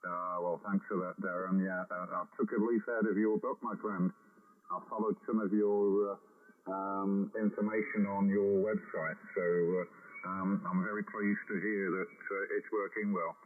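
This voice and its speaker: A man with a very deep voice on a ham radio contact, talking unhurried with many fillers through a headset mic. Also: little pitch movement. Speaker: ham radio operator calling in. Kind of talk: casual radio contact chat. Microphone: headset microphone. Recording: tinny radio audio.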